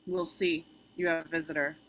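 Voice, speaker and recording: A woman acting a cold, menacing government official in an amateur script read, controlled, dry and deliberate. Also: deep voice, little pitch movement, fairly bright tone, rough recording. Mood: dry, curt.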